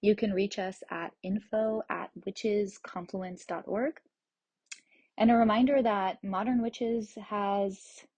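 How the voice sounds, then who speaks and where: A woman hosting a podcast, reading a calm and earnest announcement about the show. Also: lowish voice, dry recording. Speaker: podcast host.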